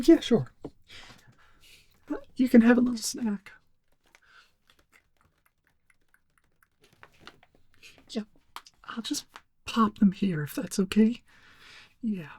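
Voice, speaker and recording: A man playing a laid-back, cowardly, goofy young amateur sleuth in a soft-spoken ASMR roleplay, rambling hesitantly in a breathy, cracking stoner drawl. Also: high voice, no room sound, full character voice. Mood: earnest, hesitant. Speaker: ASMR performer.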